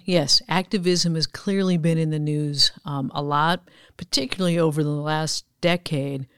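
A woman giving measured, businesslike explanations as an executive guest in a podcast interview. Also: deep voice, smooth, dry recording, studio quality. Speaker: podcast guest, chief investment officer.

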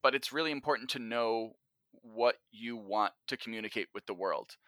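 Man, bright crisp-edged tone, instructive, emphatic, conversational podcast guest, very dry sound. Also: medium pitch, normal speed, dry recording. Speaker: podcast guest, writer and teacher. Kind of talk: conversational advice in an interview.

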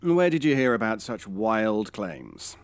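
An actor playing a calm assassin posing as a publisher in an audio drama, speaking politely and smugly in measured phrases. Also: male voice, on the low side, smooth, no echo. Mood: polite, skeptical. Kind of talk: audio fiction drama acting.

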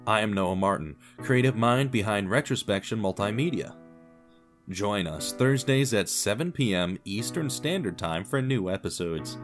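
A man giving scripted story narration as narrator of an audio drama podcast. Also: fairly low pitch.

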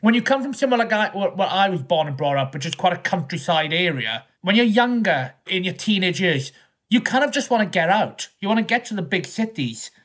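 A man in his thirties with a Welsh accent, speaking as a historian guest in an interview. He thinks out loud, rambling a little and restarting phrases as he goes. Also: high-pitched, very animated, brisk. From South West Wales. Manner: thoughtful, rambling with small restarts. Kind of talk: conversational interview.

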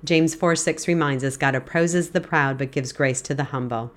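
A woman in her fifties with a congested, stuffy voice, gently teaching a devotional Bible lesson on her podcast. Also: sits low, normal speed. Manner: earnest, gentle, throat-clearing. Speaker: podcast host.

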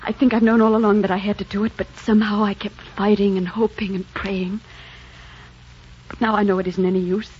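A woman's voice: medium pitch, flat delivery, fairly quick, darkish tone, husky, lightly acted. A woman playing a devoted, despairing young heroine in a 1940s radio drama, earnest and melodramatic. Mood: tender, resigned. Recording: dull 1940s radio recording.

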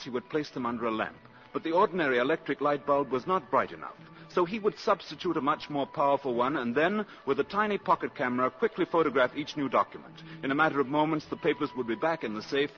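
Animated male radio drama narrator. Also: mid-range voice, quick pace, hardly any pauses. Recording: dull old radio recording. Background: music.

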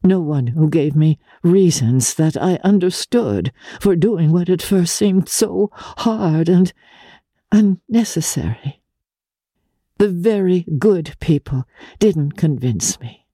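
A woman voicing an earnest, sorrowful, self-denying society lady in a hushed, fervent audiobook reading. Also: lowish voice, animated, slow, breathy edge, no room sound, studio quality, pitched above the performer's own voice, heavily acted. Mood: earnest, grateful. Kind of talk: dramatic audiobook character reading.

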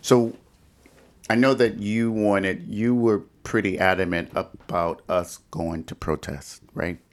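A man's voice: low-pitched, steady tone, slow. A middle-aged American man from Detroit hosting a conversational podcast discussion and asking questions. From Detroit, United States. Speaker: podcast host.

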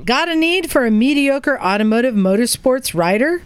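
Animated higher-pitched woman podcast host. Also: normal speed. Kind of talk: casual group chat. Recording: noticeable room noise behind.

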